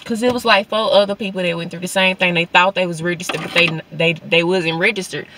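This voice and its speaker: A woman's voice: fairly low pitch, fairly even intonation, fast talker, pushed and bright, slight background noise. Young Southern US woman chatting casually. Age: young adult. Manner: informal, conversational, addressing viewers as y'all. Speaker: vlogger. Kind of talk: casual vlog chat to viewers.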